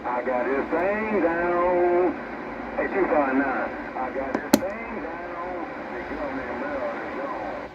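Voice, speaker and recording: A man's voice: fairly high pitch, lively intonation, very slow, long pauses. A man making calls as a CB operator, heard through a radio. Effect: heard over CB radio. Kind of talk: radio call. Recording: muffled CB radio, loud static noise.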